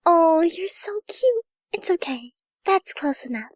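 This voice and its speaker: A woman voicing a gentle, kindly young female pegasus pony from a cartoon, soft, polite and soothing. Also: very high-pitched, very animated, measured pace, no echo, studio quality, full character voice.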